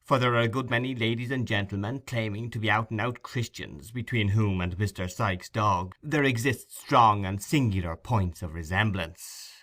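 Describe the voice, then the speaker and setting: Bright, forceful male audiobook narrator. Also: fairly low pitch, moderate pace, hardly any pauses. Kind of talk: expressive scripted literary narration.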